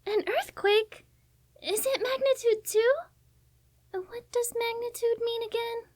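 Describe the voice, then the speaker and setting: A woman's voice: very high voice, steady tone. A woman voicing a sweet, airheaded anime girl in a cutesy, childlike way for a visual novel fan dub. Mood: confused. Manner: cutesy, slow, pleading. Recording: music bed under the voice. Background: background music.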